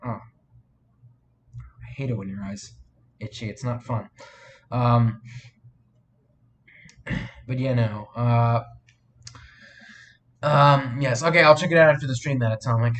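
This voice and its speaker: A man's voice: medium pitch, fairly even intonation, slow pace, pauses often, fairly bright tone, slight background noise. Rambling, sniffly man streaming live. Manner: rambling, hesitant, full of fillers and restarts; reads and answers viewer chat. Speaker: live streamer and fan film maker. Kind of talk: casual live stream chat.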